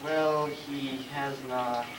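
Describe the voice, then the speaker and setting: A man playing a deferential, long-winded royal chancellor in a stage comedy, formal and halting as he picks his words. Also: medium pitch, steady tone. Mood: careful, tactful. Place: school stage, live play performance. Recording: distant, noisy, echoey room recording of play.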